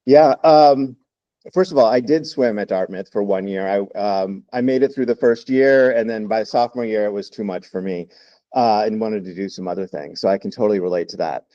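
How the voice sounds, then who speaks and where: A man in his fifties from Texas, a podcast guest telling his story in a relaxed, reflective way with many fillers. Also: lowish voice, brisk, dry recording, highs cut off. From Texas, United States. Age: 50s. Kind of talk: interview storytelling.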